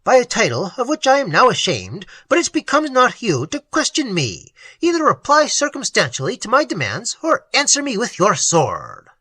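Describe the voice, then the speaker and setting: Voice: mid-range voice, huge pitch swings, moderate pace, hardly any pauses, pushed and bright, husky, dry recording, voice pushed up, heavily acted. A reader voicing an indignant, hot-tempered young nobleman in an audiobook. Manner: indignant, demanding.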